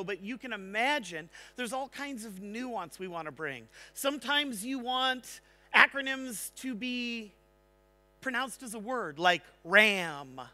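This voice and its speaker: A man speaking on a large conference stage, giving an energetic technical talk and checking in with the audience. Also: high-pitched. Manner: energetic, engaging, asks the audience "right?".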